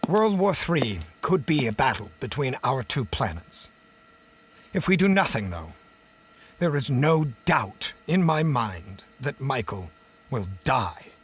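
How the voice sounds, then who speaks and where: An actor playing an obsessed, earnest and grave scientist in a radio drama. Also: male voice, mid-range voice, very animated, slow, husky, faint music behind, exaggerated voice. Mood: urgent, foreboding.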